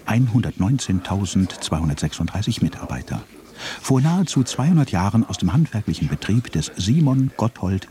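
A man's voice: deep voice, a little mellow. A man narrating a radio drama with ornate, slyly ironic phrasing. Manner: ornate, ironic listing delivery.

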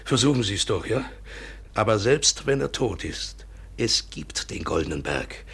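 A brooding, embittered colonial trader in a radio play. His low voice crackles with gravel, and he speaks haltingly, pressing his points obsessively. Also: sing-song, fairly bright tone, exaggerated voice. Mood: pleading, obsessive. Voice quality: gravelly, husky. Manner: brooding, halting, insistent repetitions. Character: bitter European trader father, dreaming obsessively of gold. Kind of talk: German radio play acting.

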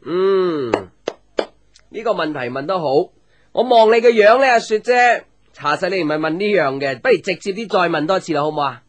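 A man's voice: high-pitched, normal speed. An actor with a Hong Kong Cantonese accent playing a playful, teasing young man, a caring friend, in a light conversational radio drama. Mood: teasing, curious. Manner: teasing, joking, animated with exclamations. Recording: music under the voice.

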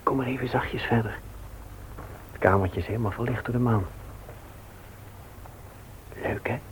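An actor playing a gentle, devoted father in a radio play, soft-spoken and earnest. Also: mid-range voice, unhurried, lightly acted. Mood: tender, hushed. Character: Gerrit, gentle motherless children's devoted father. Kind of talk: Dutch radio play acting. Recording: noisy old radio recording.

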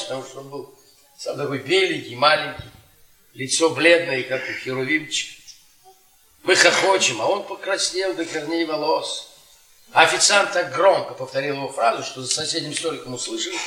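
An actor playing a bitter, worn-down middle-aged history professor, a sardonic storyteller speaking in deliberate, theatrical radio-drama style. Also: male voice, fairly high pitch, sharp tone. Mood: wistful, sardonic. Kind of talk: Soviet radio theatre acting, dramatic monologue. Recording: roomy stage-like echo, distant from microphone.

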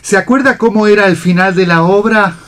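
An actor playing a fussy, pedantic prompter in a radio play, with a theatrical manner. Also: male voice, sits high, a little fast, a bit bright. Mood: nostalgic.

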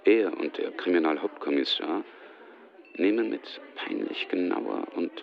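A man's voice: sits low, unhurried, creaky. A man reading scripted narration for a German radio drama. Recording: dull sound, faint music underneath.

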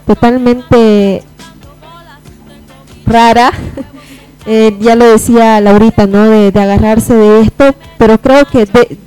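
A woman's voice: on the high side, steady tone, takes it slowly, long pauses, dark tone, clear, resonant tone, faint music behind, rough recording. A woman panelist in a live radio discussion, speaking hesitantly with frequent tag questions. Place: radio studio.

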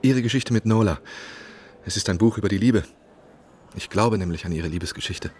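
Low-voiced, inquisitive male novelist. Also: fairly quick, darkish tone, slightly rough. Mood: probing. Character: eager, inquisitive young male novelist turned investigator. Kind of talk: radio drama narration and dialogue.

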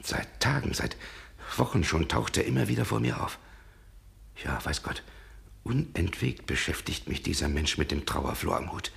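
A brooding, suspicious gentleman in 1960s radio drama style. His voice is low and swings widely in pitch, with a forceful edge. He is agitated and sardonic. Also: big pitch swings, normal speed, gravelly, heavily acted. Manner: agitated, sardonic, muttering to himself. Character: brooding, suspicious gentleman confronting a nocturnal visitor.

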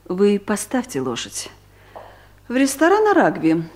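A woman's voice: medium pitch, wildly swooping pitch, measured pace, a little mellow. A woman playing a stern, formal female boss with a vulnerable side in a comedic audio drama. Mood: prim, composed. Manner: formal, commanding, prim. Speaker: actress in a radio play.

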